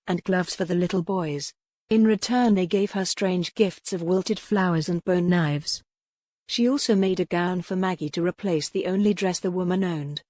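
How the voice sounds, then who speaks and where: Woman, lowish pitch, scripted novel narration, measured, articulate, dry sound. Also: darkish tone, no echo. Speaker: audiobook narrator.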